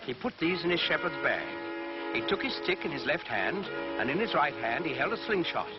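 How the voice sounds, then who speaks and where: Theatrical male storyteller over loud music. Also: on the high side, very animated. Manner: measured, theatrical, emphatic storytelling. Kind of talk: dramatic scripted children's Bible story narration. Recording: dull lo-fi recording, loud music behind.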